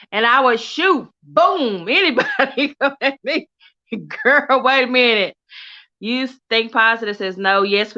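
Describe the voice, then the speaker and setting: A woman's voice: fairly high pitch, muffled recording. A middle-aged woman from Texas with a Southern accent, chatting casually on a livestream, reading out viewer comments with lots of laughter. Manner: animated, laughs often, chatty and warm toward viewers. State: coughing from allergies. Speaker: livestream host.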